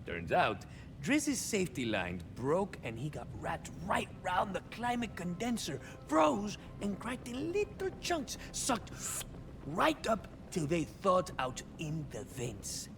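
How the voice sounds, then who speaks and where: An actor playing a swaggering young cyborg soldier of fortune in a video game, a touched flavor, musing theatrically and landing wry deadpan punchlines. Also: male voice, high voice, takes it slowly, big-room sound, music in the background, exaggerated voice. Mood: wry storytelling. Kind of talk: video game character monologue.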